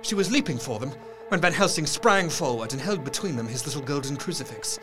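A man's voice: on the high side, expressive, fairly quick, over music, close to an everyday voice. A man playing an earnest diarist recounting horrors in a gothic audio drama, with formal, intense, literary narration. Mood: horrified, intense.

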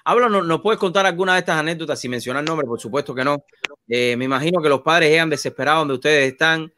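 Male host, bright higher voice, prompting questions. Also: quick pace, a little hiss or hum. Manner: prompting, conversational. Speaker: interview host. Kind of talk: casual interview questions.

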